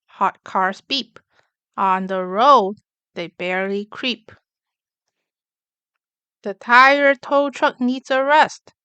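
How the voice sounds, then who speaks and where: A woman reading a rhyming picture book aloud for young children, in an expressive, clearly enunciated storytelling manner. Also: a little high, drawn-out words, long pauses, no echo, dull audio. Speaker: children's story reader, channel host.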